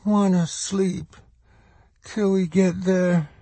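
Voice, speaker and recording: Man as a weak little girl, high and slow. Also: steady tone, warm tone, no room sound, full character voice. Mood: groggy. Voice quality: hoarse, slurred.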